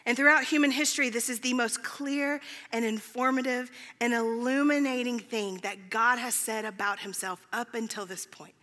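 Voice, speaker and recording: A woman's voice: fairly high pitch, moderate pace, sharp tone. A woman preaching in an informal, conversational way, with emphatic repetition. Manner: self-deprecating, informal. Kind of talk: conversational sermon. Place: large reverberant room, speaking to a congregation. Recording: long hall reverb.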